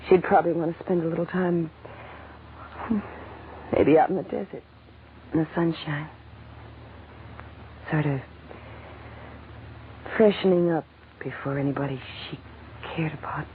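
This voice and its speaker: An actress in a 1940s radio drama playing a weary, wistful young nightclub woman who speaks haltingly. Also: low voice, fairly even intonation. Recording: muffled, noisy old radio broadcast recording.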